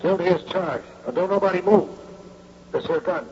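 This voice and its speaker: An actor playing a folksy old bank guard, blustering and ungrammatical, in old-time radio drama style. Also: male voice, high-pitched, normal speed, crowd noise, lightly acted. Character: folksy male old bank guard, bumbling but menacing. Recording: noisy, muffled radio drama recording.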